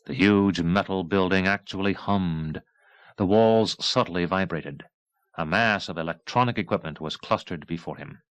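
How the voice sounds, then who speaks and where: A man's voice: deep voice, moderate pace, fairly bright tone, dry recording. A man reading a novel aloud as a scripted audiobook narration. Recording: 1980s cassette audiobook.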